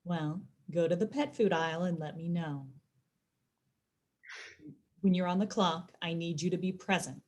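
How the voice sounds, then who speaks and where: A woman's voice: lowish voice, normal speed, pauses often, natural voice. A woman playing a stern, exasperated grocery store supervisor, curt and chiding, in an audio drama. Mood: condescending.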